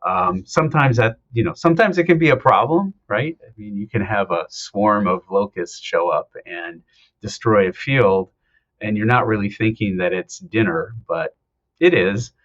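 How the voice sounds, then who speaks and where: A male professor from Ohio, answering interview questions in a relaxed, explanatory way, pausing often with ums. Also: fairly low pitch, highs cut off. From Ohio, United States. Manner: explanatory, hesitant with many ums. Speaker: anthropology professor, guest. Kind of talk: conversational interview.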